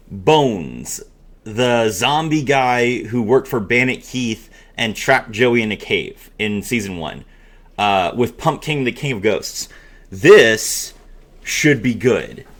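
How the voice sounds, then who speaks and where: A man's voice: mid-range voice, a bit bright. A man hosting a card game video, mixing casual commentary with theatrical, emphatic reading aloud.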